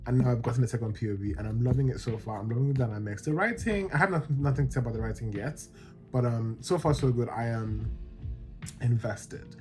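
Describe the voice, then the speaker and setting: Excitable man gushing about books. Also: mid-range voice, fast talker, over music. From Nigeria. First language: Yoruba. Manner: excitable, gushing, rambling with restarts. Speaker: book vlogger. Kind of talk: casual reading vlog chat.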